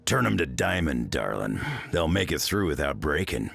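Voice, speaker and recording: Very deep, gruff mutant brawler. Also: male voice, very animated, moderate pace, fairly bright tone, faint music behind, heavily acted. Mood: gruff, wry. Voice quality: gravelly. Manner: gruff, blunt, dry. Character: gruff, rugged mutant brawler, wry and blunt.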